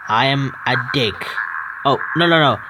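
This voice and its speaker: A man's voice: medium pitch, normal speed, background noise. A young man streaming a horror visual novel, reading the text aloud with stumbles and breaking off into swearing, joking asides. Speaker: game streamer.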